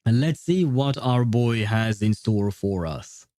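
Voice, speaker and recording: A man's voice: lowish voice, animated, takes it slowly, dark tone, slight background noise. A man livestreaming casual reaction commentary on a game, thinking aloud in informal language.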